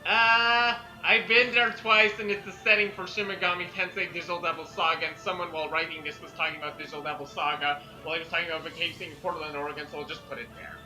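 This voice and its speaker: A man's voice: on the high side, flat delivery, quick pace, hardly any pauses, music in the background, rough recording. A man reading fan fiction dramatically and chatting casually between passages. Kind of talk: dramatic story reading mixed with casual banter.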